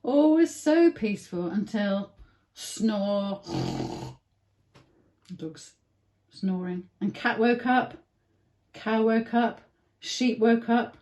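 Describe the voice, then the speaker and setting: Slow, animated woman reading a children's story. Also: on the high side. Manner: slow, simple phrasing with dramatic emphasis and repetition. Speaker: early years story reader. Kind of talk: expressive read-aloud of a picture book for young children.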